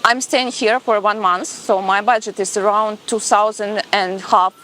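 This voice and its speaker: Young woman, mid pitch, careful phrasing with pauses, faint noise behind. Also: steady tone, fairly bright tone, low-quality recording. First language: Ukrainian. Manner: careful, pausing, simple phrasing. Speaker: interviewee. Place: beach outdoors.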